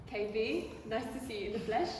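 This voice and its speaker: A British woman talks in a chatty, playful way, with laughter tucked into her phrases. She is picked up from a distance in a big gallery space with a long, ringing echo and clear background noise. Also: fairly high pitch, lively intonation, brisk, a little mellow. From Britain. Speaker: audience member asking a question. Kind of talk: casual anecdote and question. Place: large gallery room. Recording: echoey gallery hall from far off, noisy.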